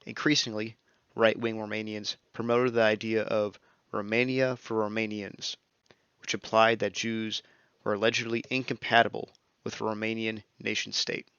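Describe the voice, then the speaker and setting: A young man reads scripted history narration at a steady, measured pace. His low voice has a gravelly, bright edge. The recording is dry and a little dull, like a lo-fi voice-over mic. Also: no room sound. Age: young adult. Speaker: student narrator.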